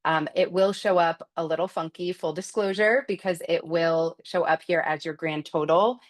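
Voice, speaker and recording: A woman with a low-pitched voice answers customer questions during a software webinar. She talks off the cuff, explaining things step by step and correcting her own phrasing along the way. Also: normal speed. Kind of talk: unscripted webinar walkthrough and Q&A.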